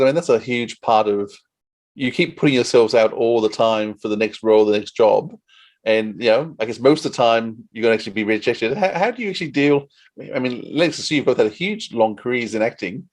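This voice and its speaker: A man's voice: medium pitch, expressive, a little fast. A man hosting an interview, putting questions in a conversational style. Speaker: interviewer, podcast host.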